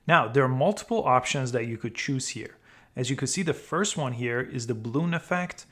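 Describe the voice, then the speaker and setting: A man's voice: medium pitch, normal speed, a bit bright. A man hosting a tutorial, explaining step by step how to use an app feature. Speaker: tutorial host. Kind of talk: how-to screen tutorial narration.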